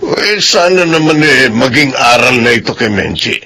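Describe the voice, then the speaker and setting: A gravelly, steady uncle. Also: male voice, mid-range voice, moderate pace, heavily acted. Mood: calm. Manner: calm, counseling. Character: older uncle, steady and practical. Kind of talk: classic radio drama acting.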